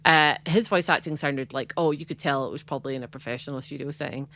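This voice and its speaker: Low-voiced Scottish woman chatting. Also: fast talker, fairly bright tone, slight background noise. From Scotland. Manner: chatty and rambling, frequent "like" and laughs. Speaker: podcast co-host. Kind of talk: casual chat.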